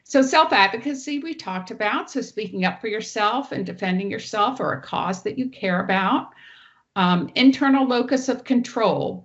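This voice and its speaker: Woman with a loud teacher voice. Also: mid-range voice, muffled recording. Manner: explains clearly, walks through each slide. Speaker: presenter. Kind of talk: online training presentation. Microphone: online meeting audio.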